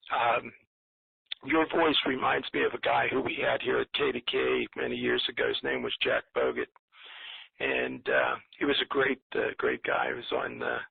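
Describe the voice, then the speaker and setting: A man calling in to a talk line, speaking in a hesitant, earnest, gloomy and rambling way with a gravelly, husky voice. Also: mid-range voice, no echo. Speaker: caller. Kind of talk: rambling call-in commentary. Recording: narrow muffled phone-line sound.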